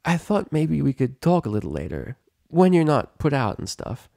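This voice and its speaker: A man's voice: a little high, very animated, normal speed, gravelly, warm tone, dry recording, clean studio sound, voice pushed up. A man voicing a brash but caring young female pony with a casual, cocky, earnest way of talking. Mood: shy, earnest.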